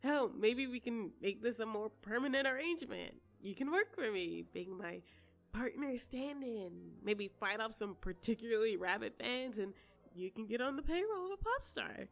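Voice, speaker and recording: A woman playing a polite, flustered pop star who wants privacy, speaking haltingly and conversationally in an intimate audio roleplay. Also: fairly high pitch, lively intonation. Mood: earnest, persuasive.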